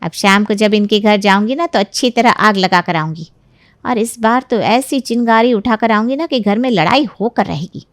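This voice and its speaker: A woman's voice: medium pitch, normal speed, no echo, lightly acted. A woman playing a jealous young married neighbour, falsely sweet and sly, in a dramatized moral story. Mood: scheming. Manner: sly, insinuating, mock-hesitant.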